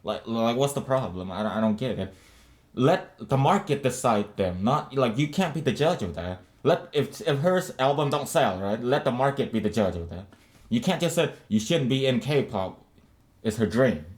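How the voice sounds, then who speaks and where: A man's voice: medium pitch, expressive, moderate pace, darkish tone. A man co-hosting a casual podcast discussion, rambling with many restarts and fillers. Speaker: podcast co-host.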